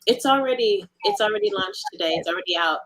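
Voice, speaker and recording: A woman being interviewed about her team's work, talking casually in earnest, halting phrases. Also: fairly high pitch, big pitch swings, a bit bright, rough recording. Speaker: audio drama team member being interviewed.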